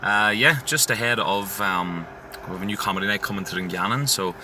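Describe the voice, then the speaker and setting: A man's voice: on the low side, a little fast. A man with a Tyrone accent hosting a casual chat in a car, talking loosely. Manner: chatty, loose phrasing with fillers. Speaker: podcast host. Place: inside a parked car. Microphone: phone. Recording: phone recording with steady noise.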